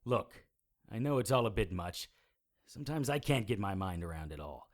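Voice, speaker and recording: A man's voice: on the low side, very animated, normal speed. A man playing a wry, weary supernatural private investigator in an audio drama, with a dry, sardonic manner. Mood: exasperated, weary. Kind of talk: audio drama acting with first-person noir narration.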